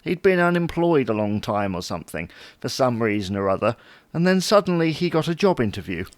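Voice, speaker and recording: Actor as a dry, long-suffering husband. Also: male voice, mid-range voice, very animated, fairly quick, no room sound, lightly acted. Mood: wry, grumbling. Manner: wry, dryly placating. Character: dry, long-suffering husband, placating his anxious wife. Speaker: audio drama actor. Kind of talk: domestic comedy-drama dialogue.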